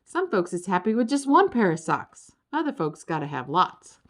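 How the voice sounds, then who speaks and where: A woman's voice: medium pitch, sing-song, moderate pace, natural voice. A woman voicing an easygoing folksy creature, half bird and half turtle, with a drawling rural Southern US accent in a children's storybook. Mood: drawling, contented.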